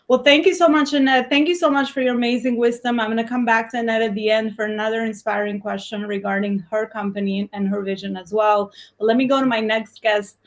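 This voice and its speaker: A woman's voice: fairly high pitch, steady tone, fast talker, hardly any pauses. Woman host chatting like a business coach, emphatic, repeats herself to make a point. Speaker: host of a panel interview show. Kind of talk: conversational interview with business coaching commentary.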